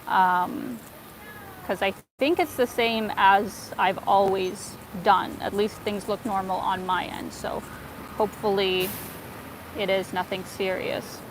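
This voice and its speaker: A woman's voice: medium pitch, fairly even intonation, slow pace, background noise. Chatty woman livestreaming outdoors on a phone. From North America. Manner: chatty, thanking viewers warmly, fillers and soft laughs. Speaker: travel vlogger livestream host. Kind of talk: casual livestream chat while walking. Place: outdoors in a city square and park. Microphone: phone held while walking.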